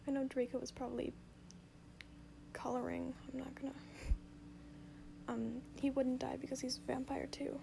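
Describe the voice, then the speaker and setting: A woman reading a story aloud in a soft whisper for sleep, indoors at home. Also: sits high, gravelly, noisy background. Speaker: reader.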